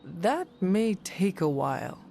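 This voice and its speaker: A performer voicing a calm, earnest resurrected warrior in a video game, with formal, measured, old-fashioned speech. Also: medium pitch, vocal fry, a little hiss or hum, full character voice. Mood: gentle, wry. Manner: formal, measured, avoids contractions.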